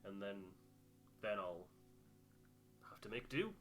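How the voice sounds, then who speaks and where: A man's voice: fairly low pitch, expressive, slow pace, a bit bright, soft background music. A man hosting a game playthrough with casual commentary into a close microphone. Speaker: game player and host.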